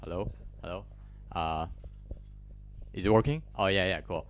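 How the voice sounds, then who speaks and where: Taiwanese student in his twenties, low and smooth voice, halting conference talk with lots of fillers, handheld mic in a lecture hall. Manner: hesitant, many fillers and restarts. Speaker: conference speaker. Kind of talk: technical talk.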